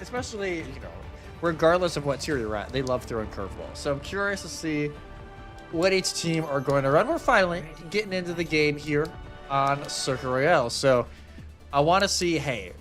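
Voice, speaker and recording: Animated male esports commentator, faint music behind. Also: on the high side, normal speed. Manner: colloquial, acts out imagined reactions. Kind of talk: live esports commentary, casual banter.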